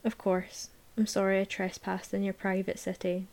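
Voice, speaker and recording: A woman's voice: mid-range voice, completely flat delivery, normal speed, a little mellow, natural voice. A woman doing a wry young man. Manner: wry, joking, mock-offended. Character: teasing young man student at a party.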